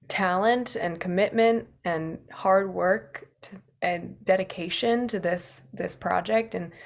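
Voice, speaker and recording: A teenage girl from Rhode Island speaks on a video call with a fairly low voice. She is a drama student and talks in a measured, sincere way, pausing now and then. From Providence, Rhode Island. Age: late teens.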